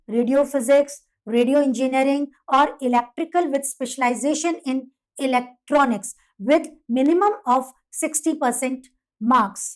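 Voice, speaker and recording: A woman with an Indian accent narrating a scripted career guidance explainer, setting out points one by one in an instructional way. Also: sits high. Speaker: presenter of career guidance videos.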